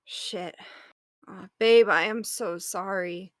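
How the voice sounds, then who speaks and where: A woman's voice: mid-range voice, fairly even intonation, slow pace, no echo, studio quality, close to an everyday voice. A woman acting an affectionate, teasing wife in a naturalistic audio drama table read, conversational and playful. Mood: sympathetic. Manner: conversational, playful, explains with examples. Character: affectionate, teasing wife consoling and humoring her partner.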